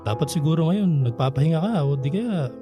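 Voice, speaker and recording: An actor playing a kindly, bashful middle-aged Filipino bachelor in a radio drama, talking gently and considerately. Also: male voice, fairly high pitch, expressive, a little fast, over loud music, lightly acted. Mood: apologetic, gentle.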